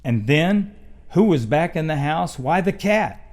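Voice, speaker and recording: A man hosting a children's show, telling stories in an unhurried, expressive way. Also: fairly high pitch. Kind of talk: storybook read-aloud and talk to children.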